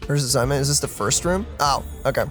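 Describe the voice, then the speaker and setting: A woman's voice: rumbling low, fast talker. A woman giving casual commentary as the co-host of a let's play. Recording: game music behind voice. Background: video game music.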